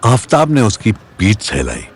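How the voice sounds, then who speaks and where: A man's voice: lowish voice, huge pitch swings, measured pace, a little hiss or hum. A man narrating a Hindi audio story in a dramatic scripted style.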